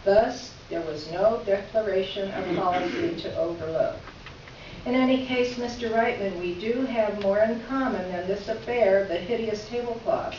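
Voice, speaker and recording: A woman playing a polite but pointed newspaper columnist, reading a formal letter aloud in a measured, drily ironic way for readers theater. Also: medium pitch, darkish tone, natural voice. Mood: dry, pointed. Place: live reading in a reverberant room. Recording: echoey room from far off, dull, noisy.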